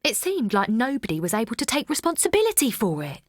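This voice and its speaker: A woman's voice: a little high, huge pitch swings, fast. A woman playing an earnest small-town mum turned protester in an audio drama, chatty and unpolished. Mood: frustrated. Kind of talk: naturalistic audio drama, dialogue and narration.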